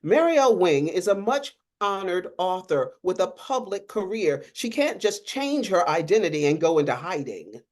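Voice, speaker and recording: A woman's voice: fairly low pitch, very animated, normal speed, hardly any pauses, pitched above the performer's own voice. A woman reading the part of an indignant, protective female lawyer, emphatic and protesting.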